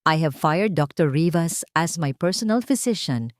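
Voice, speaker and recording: A woman's voice: low-pitched, lively intonation, normal speed, no echo. A woman voicing a commanding, determined and protective wealthy man in an audiobook, speaking tersely. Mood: calm, resolute. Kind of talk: audiobook character acting.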